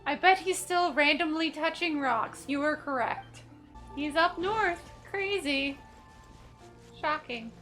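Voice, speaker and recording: A woman's voice: high voice, slow pace, fairly bright tone, soft background music. A woman hosting a gaming video with casual, joking, sarcastic commentary. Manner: sarcastic, wry.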